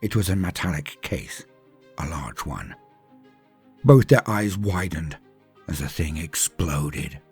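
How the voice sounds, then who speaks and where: A man's voice: sits low, animated, takes it slowly, long pauses, a little mellow. A man reading a scripted story as a dramatic narrator, with theatrical, emphatic phrasing that drops away at line ends. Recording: music under the voice.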